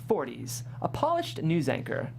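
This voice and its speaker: Low voiced woman reading stage directions for a comedy script, slight hum in background. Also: huge pitch swings, a little slow, creaky, a little mellow. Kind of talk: scripted narration at a comedy table read.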